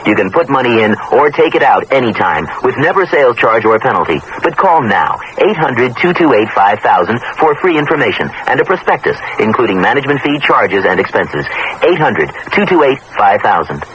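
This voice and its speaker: A man's voice: medium pitch, big pitch swings, fairly quick. A man with an American accent reading a scripted radio advertisement. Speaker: commercial announcer. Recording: old radio broadcast with faint hiss.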